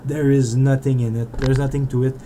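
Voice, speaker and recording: A man's voice: mid-range voice, little pitch movement, a little fast, warm tone, slight background noise. A man with a French Canadian accent, a French native speaker, rambling through a casual game developer vlog and restarting his sentences often. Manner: rambling and self-interrupting, with frequent restarts.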